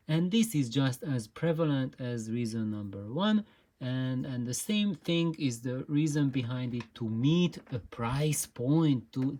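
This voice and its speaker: A host giving an informal explainer talk in a halting, hesitant way, with frequent pauses and repeated words. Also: medium pitch, mellow. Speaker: host of an audio gear channel.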